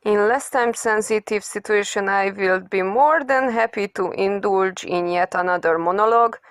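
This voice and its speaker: A woman's voice: medium pitch, moderate pace, no room sound, studio quality, close to an everyday voice. A woman voicing a weary, pedantic young male genius inventor in an amateur comic dub, speaking in a verbose, precise, pompous way.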